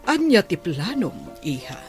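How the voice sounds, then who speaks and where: A caring mother of a grown daughter in a radio play, put on by an actor. The words come slowly, with extremely varied pitch. Faint music plays underneath. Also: sing-song. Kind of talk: audio drama dialogue.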